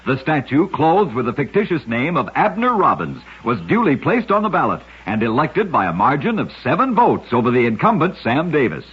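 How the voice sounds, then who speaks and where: A man narrating short scripted stories for radio in a measured, dramatic storyteller style. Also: mid-range voice, sing-song, breathy edge. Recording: muffled vintage-style radio recording.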